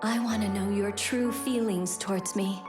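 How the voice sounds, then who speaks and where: A woman playing a gentle, devoted young woman in love, speaking earnestly in a video game drama. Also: medium pitch, fairly even intonation, reverberant, over loud music, lightly acted. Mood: calm, loving. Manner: tender, earnest, unhurried.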